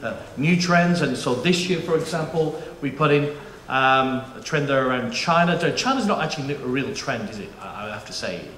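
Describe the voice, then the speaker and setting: A middle-aged man a bit of in his vowels chats easily to a conference crowd, joking and restarting his sentences as he goes. His voice sits at an ordinary pitch for a man. It rings through an echoey auditorium over a faint hum of room noise. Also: expressive, normal speed, hardly any pauses, a bit bright. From United Kingdom. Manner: chatty, jokey, frequent restarts and fillers. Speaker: panel moderator. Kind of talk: conference presentation.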